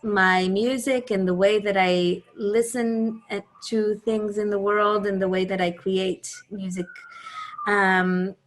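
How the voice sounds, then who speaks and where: Hebrew-speaking Israeli woman musician, halting. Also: mid-range voice, flat delivery. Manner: warm, searching, many ums and restarts. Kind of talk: casual talk on a video call. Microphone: video call.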